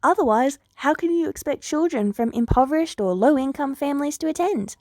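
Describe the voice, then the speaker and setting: A woman's voice: high voice, expressive, brisk, darkish tone, dry recording, clean studio sound, pitched above the performer's own voice. A woman voicing an earnest, eager older girl, a polite and persuasive hero student in a story.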